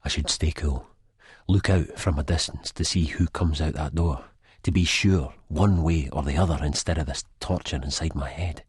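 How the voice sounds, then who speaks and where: An actor playing an anxious Scottish man in a first-person audiobook, with a deep, husky voice. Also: steady tone, moderate pace, dry recording, clean studio sound, full character voice. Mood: panicked dread. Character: anxious Scottish man narrating in sheer terror of an old enemy.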